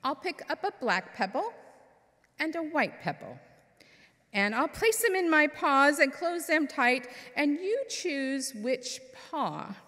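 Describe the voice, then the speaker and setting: A woman's voice: high voice, big pitch swings, slow, bright, forceful tone, pitched above the performer's own voice, full character voice. A woman voicing a sly, greedy old male fox landlord in a children's story, stern and emphatic as he bargains. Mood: cunning. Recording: echoey hall with long reverb.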